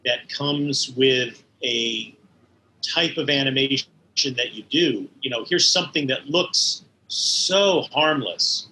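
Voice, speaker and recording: A middle-aged or older man talking as an interview guest, reminiscing in a halting, thoughtful way and searching for words. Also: mid-range voice, sharp tone, a little hiss or hum, poor audio. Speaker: interview guest, animation studio head.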